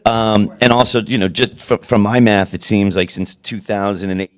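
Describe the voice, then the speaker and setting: Deep-voiced man speaking haltingly at a meeting. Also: fairly even intonation, clean tone. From South Florida. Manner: halting, many restarts and fillers. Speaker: city commissioner. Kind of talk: public meeting discussion.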